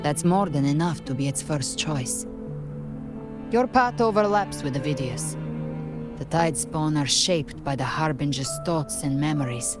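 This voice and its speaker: A woman playing a grave, knowing strategist in a video game, speaking in a deliberate, formal, analytical way. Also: low-pitched, close to an everyday voice.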